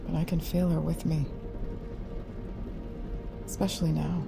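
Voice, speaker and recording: An earnest woman in a sci-fi audio drama. Her voice is low and her delivery hesitant and sincere, with clear music underneath. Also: flat delivery, measured pace, full character voice. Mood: wistful. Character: earnest woman, bereaved daughter of an astronaut.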